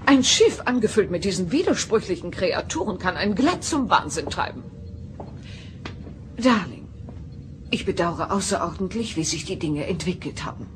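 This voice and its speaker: A woman's voice: fairly low pitch, big pitch swings, moderate pace, slight background noise. An actress playing a haughty, meddling middle-aged alien mother in a sci-fi audio drama, theatrical and condescending. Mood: imperious.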